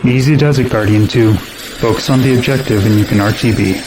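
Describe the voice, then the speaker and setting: An actor playing a stern military air traffic controller in an audio drama, with a clipped, commanding manner. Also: male voice, lowish voice, a little fast, lightly acted. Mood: firm, controlled. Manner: clipped, commanding radio procedure. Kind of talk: modern audio drama acting. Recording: radio chatter with clear background noise.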